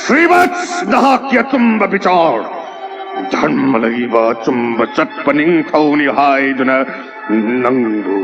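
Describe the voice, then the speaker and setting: An actor playing a proud, vengeful male god in a mythological audio drama, declaiming theatrically with threats and sudden shouts. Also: medium pitch, big pitch swings, very fast. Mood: indignant, wrathful. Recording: dull lo-fi audio with loud music.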